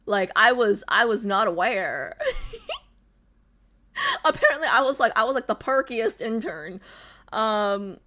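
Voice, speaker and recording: A woman's voice: a little high, wildly swooping pitch, slow pace, pauses often, pushed and bright. A young adult woman streamer chatting casually with her viewers, bubbly and full of fillers. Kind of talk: casual chat with live chat.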